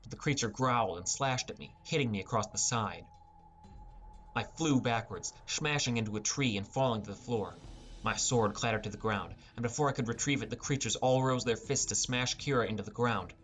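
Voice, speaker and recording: A man's voice: mid-range voice, brisk, a bit bright, faint music behind. A man narrating a scripted first-person fantasy adventure as an audiobook.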